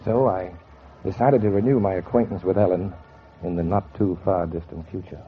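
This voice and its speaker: A man's voice: deep voice, steady tone, moderate pace, close to an everyday voice. An actor playing a bitter, cold-blooded man bent on revenge, narrating in brooding 1940s radio noir style with sardonic deliberation. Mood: calculating, sardonic. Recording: dull, noisy 1940s radio recording.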